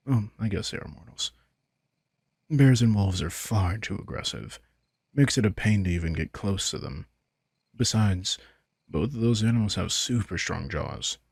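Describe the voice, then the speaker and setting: Man voicing a deep, husky, smug vampire. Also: darkish tone, no echo, studio quality, heavily acted. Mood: teasing, amused. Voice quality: husky, gravelly. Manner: casual, teasing, swearing, sardonic. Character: playful, smug male vampire, casually seductive and sardonic. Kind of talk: intimate roleplay audio drama addressed to the listener. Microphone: close, dry studio microphone.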